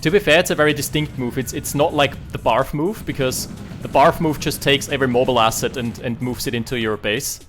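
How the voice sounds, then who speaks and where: Joking, lively game caster man. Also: medium pitch, faint music behind. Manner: lively, joking, quick reactions. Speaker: esports caster. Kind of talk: casual game commentary.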